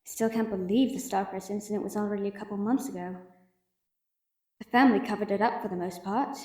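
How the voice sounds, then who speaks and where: A woman playing a wry, affectionate young merchant in a fantasy audio drama, gently teasing and a little hesitant. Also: medium pitch, steady tone, brisk, slightly rough, dry recording, natural voice. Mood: casual, friendly.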